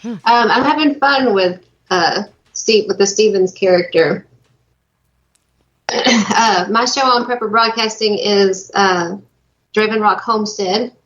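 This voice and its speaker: A woman with a mid-pitched voice talks casually as a guest. She is informal and self-deprecating, and the recording is a little dull and muffled. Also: slow pace, a bit bright. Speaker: guest voice performer and show host. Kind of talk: casual chat. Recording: dull, muffled call audio.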